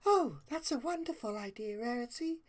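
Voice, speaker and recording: Woman putting on a quiet, timid pony girl. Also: fairly high pitch, animated, normal speed, voice pushed up. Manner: quiet, hesitant, breathy-soft. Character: timid, gentle, soft-spoken young female pony.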